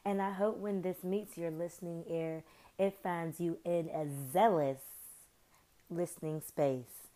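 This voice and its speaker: A woman's voice: on the low side, steady tone, a little slow, warm tone. A woman hosting her own podcast in a casual, chatty way, full of slang and rambling asides, her voice a little off from sinus trouble. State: recovering from sinus trouble, voice varies. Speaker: podcast host. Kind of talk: casual solo podcast chat.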